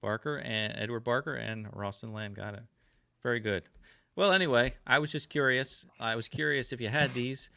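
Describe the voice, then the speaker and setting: A man's voice: medium pitch, normal speed, creaky, bright, forceful tone. An American man hosting a livestream podcast, chatting casually and asking curious questions with plenty of ums and uhs. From United States. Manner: curious, asks lots of questions, filler-heavy. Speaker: podcast host.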